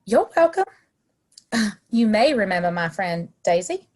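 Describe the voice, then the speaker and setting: A woman playing a friendly, bold frontier townswoman in a western audio play, slightly husky and chatty. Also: mid-range voice, sing-song, a little slow, a little mellow. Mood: playful, friendly.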